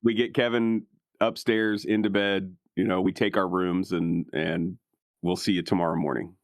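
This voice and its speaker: A man with a fairly low voice chats casually at a game table and swears freely. The recording is very dry and close, without room sound. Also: fairly even intonation, moderate pace, dry recording.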